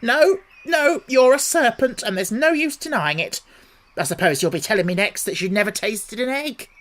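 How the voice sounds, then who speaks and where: A man voicing a fussy mother pigeon who shrieks and speaks with deep contempt. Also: animated, normal speed, a bit bright. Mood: scolding. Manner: shrill, contemptuous, scolding. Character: fussy shrieking female pigeon guarding nest.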